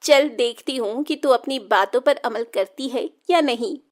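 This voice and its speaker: Woman as exasperated cartoon mother, high voice. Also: unhurried, lightly acted. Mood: fond chiding. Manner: chiding, fond. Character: exasperated but affectionate mother of a demanding daughter. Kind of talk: children's cartoon story acting.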